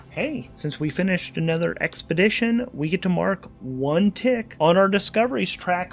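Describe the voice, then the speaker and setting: Man musing aloud through a solo game. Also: high-pitched. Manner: conversational, musing aloud to himself. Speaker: solo tabletop RPG player and podcast host. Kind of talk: thinking-aloud game narration.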